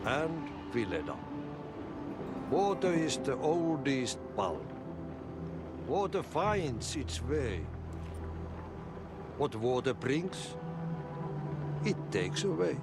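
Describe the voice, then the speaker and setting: A slow, cryptic old janitor. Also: male voice, medium pitch, sing-song. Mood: serene, cryptic. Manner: slow, riddling, folksy, unhurried. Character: cryptic old male janitor, serene, folksy riddler. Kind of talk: cryptic game dialogue in proverbs. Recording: loud music behind the voice. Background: loud music.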